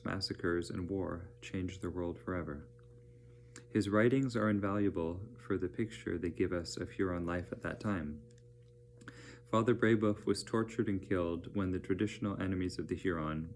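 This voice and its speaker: A man reading educational narration aloud as a teacher, in a measured, plain-spoken manner. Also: low voice, a little hiss or hum.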